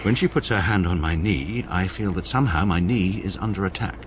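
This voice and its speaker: An actor playing a pompous, precise middle-aged English barrister, narrating his own life deadpan in an upper-class accent for a radio comedy drama. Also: sits low, a little fast, slight background noise, natural voice.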